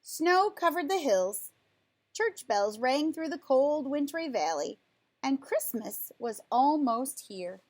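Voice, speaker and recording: A woman reading a children's storybook aloud in an expressive, emphatic storytelling way. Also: high-pitched, takes it slowly. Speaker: storybook reader.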